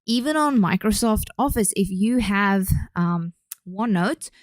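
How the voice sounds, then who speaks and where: A woman's voice: medium pitch, lively intonation, a little slow, no room sound, clean studio sound. A young woman, a speech pathologist and podcast host, chatting enthusiastically with many fillers, then explaining her work. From Australia. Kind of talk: casual chat and explanatory talk.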